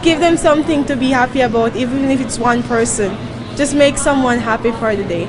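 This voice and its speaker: A woman's voice: on the high side, fairly quick. A young woman from Jamaica, a volunteer answering interview questions earnestly and searching for words. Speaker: volunteer guest. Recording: noisy crowd around.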